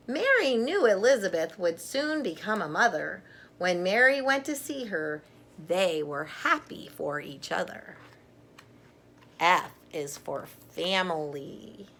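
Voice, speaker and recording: A middle-aged woman reading a picture book aloud to children, gently and deliberately, with chatty asides. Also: on the low side.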